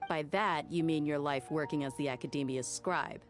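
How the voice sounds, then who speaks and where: A woman as a low-voiced, outspoken tough woman. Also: normal speed, lightly acted. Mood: skeptical. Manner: blunt, challenging. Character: tough, outspoken adult woman, skeptical and combative. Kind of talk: video game voice acting. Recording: game music under the voice.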